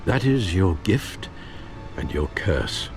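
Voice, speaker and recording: An older man with a British accent playing a loyal, caring elderly butler in a video game, measured, formal and earnest. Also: low voice, big pitch swings, heavily acted. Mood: solemn, caring. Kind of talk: dramatic video game voice acting. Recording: music behind the voice.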